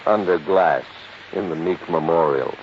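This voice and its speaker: An American actor playing a fussy, worried, middle-aged insurance manager in a 1950s radio drama, explaining things emphatically. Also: male voice, low voice, a little slow. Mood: dry, emphatic. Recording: muffled, hissy 1950s radio recording.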